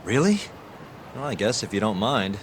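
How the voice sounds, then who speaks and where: Lively, low-voiced, self-assured male hero. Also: moderate pace, a little hiss or hum. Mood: pleasantly surprised. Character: cool, cocky, good-natured male private investigator hero. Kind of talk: video game drama acting. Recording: music behind the dialogue.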